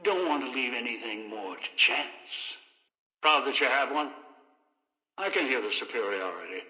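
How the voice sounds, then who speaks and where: An older actor playing a cold, paranoid, scheming old man in a video game, with deliberate, halting, contemptuous delivery. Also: mid-range voice, lively intonation, bright, forceful tone, roomy sound, big-room sound, low-quality recording. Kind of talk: dramatic video game voice acting.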